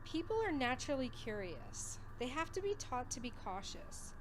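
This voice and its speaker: Higher-voiced earnest young wife played by a woman. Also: sing-song, moderate pace, background noise. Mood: curious. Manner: earnest, concerned. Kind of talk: audio drama acting.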